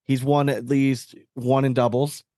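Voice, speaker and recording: A man's voice: mid-range voice, little pitch movement, a little slow, warm tone, no room sound, clean studio sound. A man hosting a podcast in casual sports chat, talking in a slangy, opinionated, conversational way. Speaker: podcast host.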